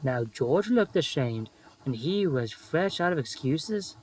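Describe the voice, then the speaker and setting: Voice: mid-range voice, animated, slow pace, a little mellow, breathy edge, rough recording. A narrator reading a scripted children's story in storybook style.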